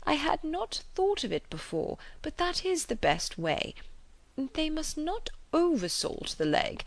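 A woman reader plays an elderly, hypochondriac gentleman in a classic novel. He is mild, anxious and fussy, picking over each point deliberately in a swooping, lightly creaky voice. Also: medium pitch, no echo, voice pushed up. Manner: fretful, deliberate, mild fussing. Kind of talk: audiobook character voice.

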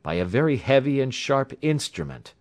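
A man's voice: mid-range voice, lively intonation, moderate pace, voice pushed up, close to an everyday voice. A man playing a formal, concerned and authoritative doctor in an audiobook story. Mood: professional concern. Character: calm, authoritative, sympathetic male doctor of Victorian tale.

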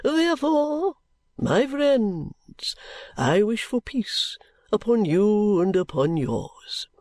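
An oily, self-important preacher drawls his blessings in slow, stately phrases, his pitch swinging high and low, as a reader puts him on. The sound is dry and close. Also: sing-song, darkish tone, no room sound, heavily acted. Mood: unctuous. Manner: slow, grandly sermonizing with long pauses. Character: oily pompous large male preacher.

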